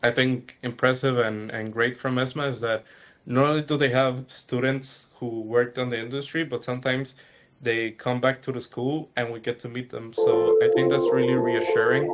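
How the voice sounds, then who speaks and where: Flat-voiced young man in a webinar. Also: mid-range voice, low-quality recording. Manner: earnest, repeats words when starting. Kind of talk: webinar discussion.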